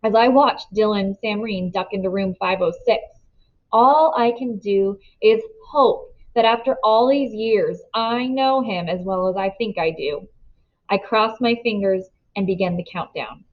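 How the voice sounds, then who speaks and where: A woman narrating a children's novel aloud in an expressive storytelling style. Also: medium pitch, a little slow, highs cut off.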